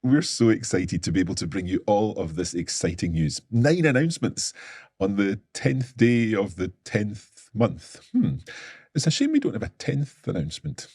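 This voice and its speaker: A man's voice: on the low side, big pitch swings, moderate pace, darkish tone, husky, no room sound, studio quality. A man presenting a scripted company announcement with upbeat enthusiasm.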